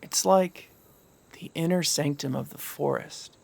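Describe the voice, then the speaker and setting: A man's voice: a little high, unhurried, vocal fry. An actor playing an easygoing, reassuring young man in an audio drama, casual and laid-back.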